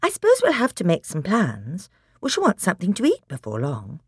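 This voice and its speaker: A woman's voice: fairly low pitch, wildly swooping pitch, brisk, breathy edge, no room sound, clean studio sound, voice pushed up, full character voice. A woman voicing a proper, anxious schoolgirl, a fretful older sister in a storybook. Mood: practical. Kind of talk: audiobook character acting.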